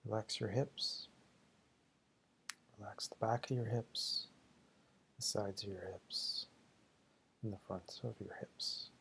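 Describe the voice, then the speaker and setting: A man leading a guided meditation in slow, deliberate, soothing short phrases, with a husky, gravelly voice. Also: on the low side, steady tone, fairly bright tone, a little hiss or hum.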